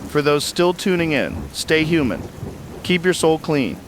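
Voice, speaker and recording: A man's voice: a little high, expressive, measured pace, a little hiss or hum. A man playing a weary, earnest survivor hosting a somber radio interview in an apocalypse drama. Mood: somber resolve. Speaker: interviewer, broadcaster. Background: rain and thunder ambience.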